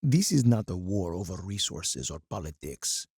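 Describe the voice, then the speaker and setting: Deep, gravelly, stern military colonel. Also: male voice, sing-song, a little mellow, dry recording, clean studio sound, heavily acted. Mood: intense resolve. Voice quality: gravelly, husky. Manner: stern, deliberate, oratorical. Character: hard, commanding older male military colonel, menacing strategist. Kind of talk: dramatic scripted game character monologue.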